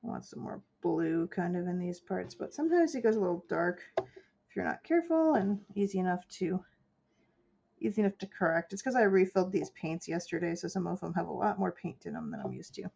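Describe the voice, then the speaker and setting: A woman's voice: lowish voice, expressive, brisk, vocal fry, a little mellow, slightly rough, muffled recording. A woman with a stuffy nose from a cold, chatting casually and explaining her steps as she paints for a live stream. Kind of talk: casual chat while painting.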